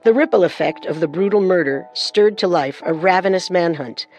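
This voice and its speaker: A woman's voice: on the low side, moderate pace, darkish tone. Female true crime narrator over music. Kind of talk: scripted dramatic narration.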